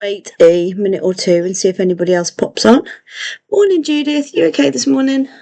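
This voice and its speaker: A woman's voice: medium pitch, steady tone, fairly quick, creaky, dark tone. A woman hosting a live online history lesson, chatty with many fillers and self-corrections, her voice a little throaty today. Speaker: online history tour host.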